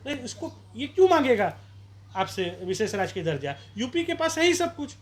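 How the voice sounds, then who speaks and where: A man from Bihar, an election candidate, arguing forcefully in a street interview with rhetorical questions and campaign appeals. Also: very high-pitched, sing-song, a little fast. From Bihar, India.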